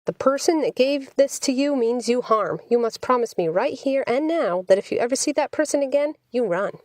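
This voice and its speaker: A woman's voice: high voice, expressive, moderate pace, a little mellow, no room sound, clean studio sound, pitched above the performer's own voice. Woman reading as anxious, protective young boyfriend. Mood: urgent, pleading. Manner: earnest, pleading.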